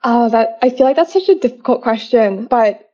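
A woman's voice: fairly high pitch, expressive, moderate pace. A young woman from Hong Kong, a guest entrepreneur talking casually in an interview, with lots of fillers and restarts. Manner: chatty, many fillers and restarts. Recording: slightly dull lo-fi sound.

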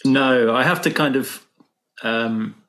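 A man from Britain chatting casually, reminiscing in a halting, rambling way. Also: mid-range voice, a bit bright, low-quality recording. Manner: rambling, nostalgic, hesitant. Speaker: podcast guest. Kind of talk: casual chat.

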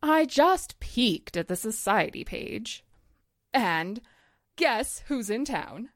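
Woman playing a chatty small town housewife, coy and gossipy, bouncy pitch, dry close sound, old-time radio play. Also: very animated, slow, no room sound. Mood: playful, gossipy. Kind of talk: radio drama acting.

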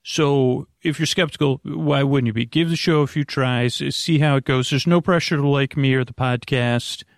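A man with a creaky, gravelly voice, hosting a podcast in a meandering, halting bedtime monologue that keeps interrupting itself. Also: medium pitch, no room sound, studio quality. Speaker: podcast host. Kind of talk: rambling bedtime monologue to help listeners sleep.

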